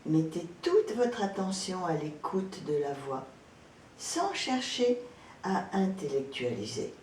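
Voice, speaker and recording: Slow, low-voiced woman guiding relaxation. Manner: calm, gentle, soothing, with frequent pauses. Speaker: yoga nidra instructor. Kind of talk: guided relaxation meditation, spoken instructions. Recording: roomy echo, distant mic, background noise, rumble.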